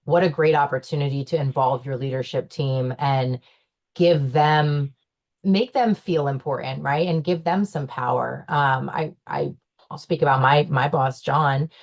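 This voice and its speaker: Young woman panelist, low voice, chatty with lots of fillers, casual panel talk. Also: fairly even intonation, measured pace. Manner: chatty, many fillers and restarts, laughs often. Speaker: panelist, owner's daughter in the business.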